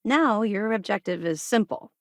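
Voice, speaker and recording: A woman playing a disciplined, no-nonsense military officer in a sci-fi video game, speaking in a crisp, formal, businesslike way. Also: medium pitch, animated, moderate pace, dry recording, studio quality, close to an everyday voice. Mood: businesslike, firm. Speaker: military officer briefing the player. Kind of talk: scripted video game dialogue.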